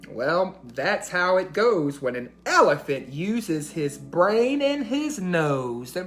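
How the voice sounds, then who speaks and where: A woman's voice: on the low side, a bit bright. A woman hosting a children's read-aloud, narrating a storybook in a teacherly way and putting questions to listeners.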